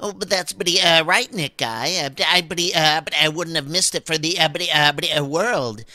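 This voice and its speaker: Nervous stammering oddball, bright tone, keeps getting stuck, radio comedy. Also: male voice, a little high, no echo, studio quality. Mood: flustered. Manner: halting, stammering, repeats filler words. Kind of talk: comedic audio drama.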